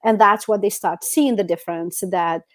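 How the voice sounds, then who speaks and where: A woman's voice: medium pitch, moderate pace. A woman co-hosting a podcast, telling personal stories in a casual, emphatic, conversational way full of "you know". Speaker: podcast co-host.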